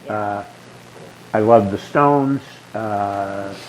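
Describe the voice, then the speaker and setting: An older man with a low, growly bass voice, talking casually in a group and rambling through stories with many restarts. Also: extremely slow, poor audio. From Southern California. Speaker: guest being asked questions.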